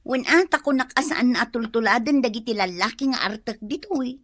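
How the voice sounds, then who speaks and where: An actress plays a complaining, gossipy village mother in an Ilocano radio comedy. Her female voice is high and piercingly bright. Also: fairly quick.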